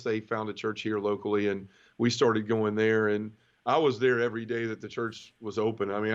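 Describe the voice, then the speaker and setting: A low-pitched man with a Southern Memphis accent tells his story in an easygoing, chatty way. His voice comes through a dull, muffled lo-fi line. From Memphis, Tennessee. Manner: relaxed storytelling with frequent fillers and easy laughs. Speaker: interview guest. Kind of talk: personal testimony in conversation.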